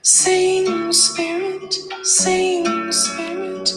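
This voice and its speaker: A woman's voice: very high voice, completely flat delivery, extremely slow. A woman singing a devotional song as a solo vocalist. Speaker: singer. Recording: reverberant, with plucked guitar accompaniment. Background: guitar music.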